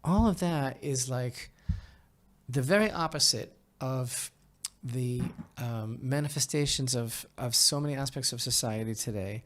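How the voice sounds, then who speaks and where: A man giving conversational opinion commentary as a podcast host, halting and emphatic, repeating phrases and often checking in with the listener. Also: mid-range voice, a bit bright.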